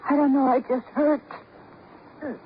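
Tough young female waitress: high voice, wounded and bitter, slangy, in a muffled vintage radio drama. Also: flat delivery, unhurried. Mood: weary, hurt. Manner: slangy, defiant, fragile. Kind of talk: 1940s-50s radio drama acting. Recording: muffled old radio recording, faint noise.

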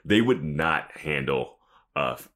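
A man with a very deep voice chats as a podcast co-host. His intonation moves around a lot as he recaps in an incredulous, animated way. He often slips into acting out what people might have said. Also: slow. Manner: animated, incredulous, acts out imagined lines. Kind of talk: casual TV recap chat.

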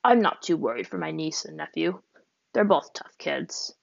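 Calm old man, wise and unhurried, read by a woman; slow, low delivery in a dry lo-fi reading. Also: gravelly, no room sound, full character voice. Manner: slow, gentle and reassuring. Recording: dry, dull lo-fi audio.

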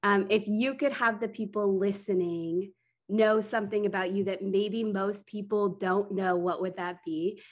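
A woman's voice: mid-range voice, steady tone, measured pace. A woman hosting a podcast, asking friendly, rambling questions with some fillers. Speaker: podcast host. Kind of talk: casual interview.

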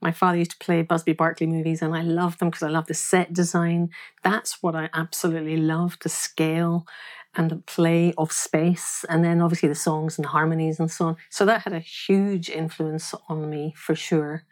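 Woman, podcast guest, laughs often, low voice. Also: flat delivery, normal speed. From Scotland. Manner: warm, laughing storyteller. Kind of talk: casual interview chat.

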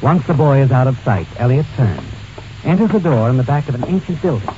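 A man's voice: mid-range voice, lively intonation, brisk, dark tone, slight background noise. A man narrating a suspense story in dramatic, ominous 1940s radio style. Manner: ominous, addresses the character as "you". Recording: muffled old radio recording. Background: dramatic music.

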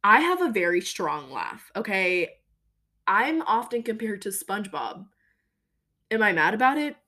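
A young woman hosting a podcast, talking casually and emphatically about her own life, with a voice she calls deeper than most women's. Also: sing-song, measured pace, pushed and bright. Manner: chatty, emphatic, lots of fillers. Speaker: podcast host. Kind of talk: casual personal monologue.

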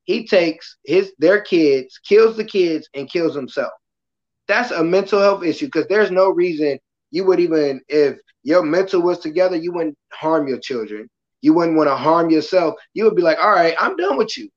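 A passionate, loud-voiced man hosting a casual podcast talk, acting out quotes as he goes. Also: on the high side, animated, moderate pace, lo-fi sound. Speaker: podcast host; kindergarten school worker.

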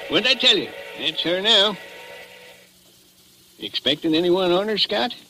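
An actor playing a folksy small-town railroad station hand in rural, homespun speech, in 1940s radio style. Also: male voice, sits high, expressive, measured pace, bright, forceful tone, full character voice, poor audio. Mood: folksy, easygoing. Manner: folksy, drawling rural talk with dropped grammar. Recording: old radio recording with clear noise.

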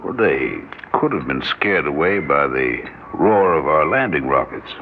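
An actor playing a measured, skeptical expedition scientist in an old science-fiction radio drama, reasoned and slightly formal in delivery. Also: male voice, a bass voice, expressive, exaggerated voice. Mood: sober. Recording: tinny, noisy vintage radio recording.

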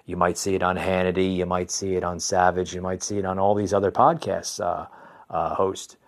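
Low-pitched American man, halting political podcaster. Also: little pitch movement. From New Jersey, United States. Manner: repetitive, halting, opinionated, addressing listeners as folks. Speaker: conservative podcast host. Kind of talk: unscripted political commentary.

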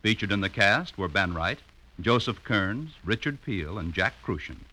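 A man's voice: on the low side, animated, moderate pace, bright, forceful tone, slight background noise, low-quality recording. A man announcing in polished, emphatic 1950s American radio style from a script.

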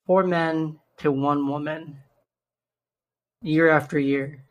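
A young man with a slightly raspy, hoarse voice, earnestly hosting a solo podcast and slowing down to stress key numbers. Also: a little high, steady tone. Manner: earnest, stresses numbers slowly, self-interrupting restarts. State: hoarse from shouting the day before. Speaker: podcast host.

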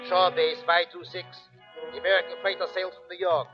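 High, monotone spy radio operator. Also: male voice. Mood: cold, measured. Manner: slow, clipped message reading. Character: secretive, clipped enemy spy radio operator. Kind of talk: 1940s radio drama acting. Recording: dull old radio recording with music.

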